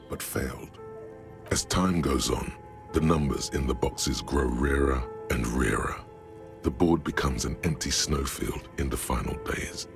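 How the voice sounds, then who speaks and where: A man voicing a solemn, brooding inner-voice narrator in a video game, speaking in measured, literary scripted narration. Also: exaggerated voice. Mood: somber. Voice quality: deep, gravelly.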